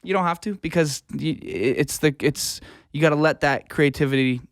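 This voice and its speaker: A man's voice: on the high side, moderate pace, no echo, clean studio sound. A man, giving informal, rambling answers as an interview guest who works in music production. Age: 20s. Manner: informal, rambling, mild swearing. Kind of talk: casual conversational interview answers.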